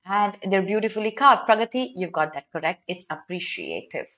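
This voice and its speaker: A woman's voice: mid-range voice, expressive, a little fast, a bit bright. A young woman with an Indian accent teaching an online exam prep lesson to live students, instructional and slipping between English and Hindi. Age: young adult.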